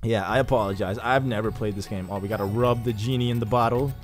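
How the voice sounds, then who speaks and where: A young man giving casual, excitable let's-play commentary over his gameplay, chatting with jokey asides. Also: fairly low pitch, fast, soft background music. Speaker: gaming YouTuber.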